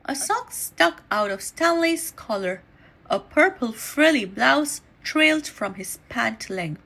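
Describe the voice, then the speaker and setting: A woman reading a children's story aloud in an animated, deliberate storytelling manner. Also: high-pitched, vocal fry. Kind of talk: expressive read-aloud storytime narration.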